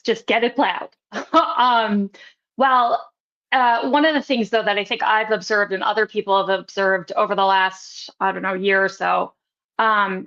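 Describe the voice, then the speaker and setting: A woman's voice: medium pitch, a bit bright. Woman host, slightly dull audio. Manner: measured, hedging with "um" and "kind of". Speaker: podcast host. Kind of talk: interviewing a local candidate.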